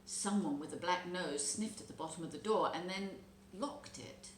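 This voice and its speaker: A woman reading a children's story aloud as an expressive narrator. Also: on the low side, moderate pace. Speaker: storybook reader. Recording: roomy echo, background noise.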